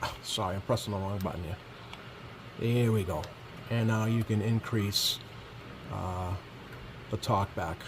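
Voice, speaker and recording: A man's voice: lowish voice, unhurried, pauses often. Casual man demonstrating gear over background noise. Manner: informal, hesitant, thinking aloud while handling controls. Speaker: product reviewer. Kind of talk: casual hands-on product demo. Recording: clear steady background noise.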